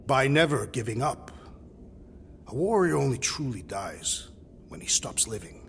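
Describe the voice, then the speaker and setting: A solemn alien warrior for a video game, speaks in formal, literal sentences like a keeper of old tales. The voice has a gravelly edge and sits in long reverb over clear background noise. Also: male voice, mid-range voice, big pitch swings, measured pace, fairly bright tone, exaggerated voice. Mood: earnest, dignified. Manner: grave, formal, storytelling delivery without contractions. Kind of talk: dramatic video game cutscene acting.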